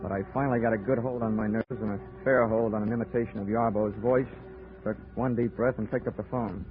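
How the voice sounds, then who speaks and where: A man's voice: on the low side, steady tone, a little fast, close to an everyday voice. A man playing a hard-boiled insurance investigator, narrating with dry wisecracks in a 1940s radio detective drama. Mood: wry. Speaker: narrator and lead. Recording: tinny old radio recording with music.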